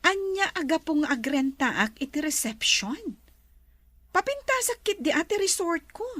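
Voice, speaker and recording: A woman playing a lively, teasing lady boss of a farm resort in a radio drama. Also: very high voice, moderate pace, no echo, heavily acted. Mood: admiring, dreamy.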